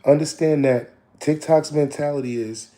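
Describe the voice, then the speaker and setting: Man, a bit hoarse, mellow low tone, repetitive motivational coach. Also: normal speed. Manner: preachy, repeats lines, calls listeners "family". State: slightly hoarse. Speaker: digital marketing coach. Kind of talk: casual motivational live stream.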